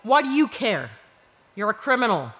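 An actress playing a brash, cunning, cool-headed disgraced ex-narc in an audio drama. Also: female voice, fairly high pitch, very animated, moderate pace, a bit bright. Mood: steely, dry.

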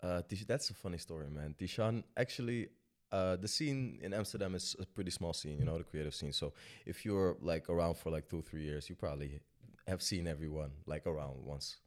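A young man from Sudan with a very low voice talks about his music in a relaxed, meandering way. He fills gaps with "like" and "you know" and laughs easily as the guest on a podcast. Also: brisk. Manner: relaxed, rambling, laughs often, many fillers. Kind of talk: casual interview talk.

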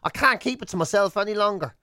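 An Irish man with a high-pitched voice banters as a radio co-host. He spins exaggerated comic stories and teases as he goes, recorded close and dry. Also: fast talker, pushed and bright, no room sound. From Ireland. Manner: exaggerated comic storytelling, teasing.

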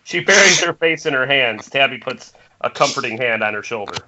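A man with a forceful, very bright voice of medium pitch reads scripted stage directions. The recording is lo-fi with faint noise, like an internet call. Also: expressive, brisk. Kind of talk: scripted narration in an amateur table read. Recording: dull, noisy internet call audio.

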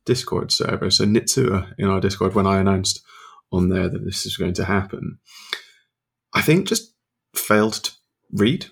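A low-pitched man with a gravelly, slightly husky voice. He chats casually on his podcast from a home study, keeping his voice quiet and low. He chuckles often between his remarks. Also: normal speed. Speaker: podcast co-host. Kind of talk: casual chat.

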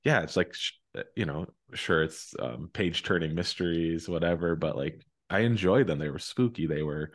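Deep voiced guy hosting a casual book podcast, rambly, keeps correcting himself mid sentence. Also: animated, moderate pace. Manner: informal, rambling, self-correcting. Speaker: podcast host. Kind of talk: casual conversational book interview.

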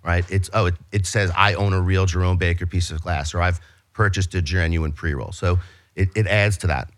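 A man's voice: fairly even intonation, brisk. New York man explaining his business. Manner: eager, pitch-style explaining with many restarts. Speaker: business guest. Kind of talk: casual interview talk.

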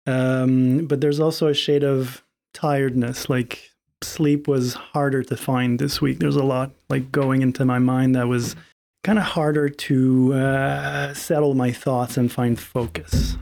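A man with a middle-pitched voice and a gravelly fry. He is a podcast guest who talks in a reflective, halting way, searching for his words. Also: flat delivery, darkish tone. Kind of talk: casual group podcast chat.

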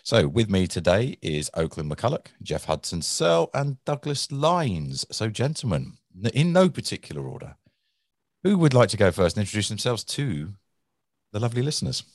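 A man hosting a podcast in a relaxed, chatty way, with jokey asides. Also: on the low side, moderate pace, no echo, clean studio sound. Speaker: podcast host.

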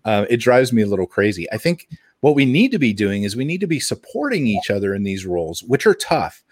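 A middle-aged man co-hosting a casual podcast with a lively, swinging pitch. He speaks earnestly and holds firm opinions. The sound is dry, without room echo. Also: fairly quick. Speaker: podcast co-host.

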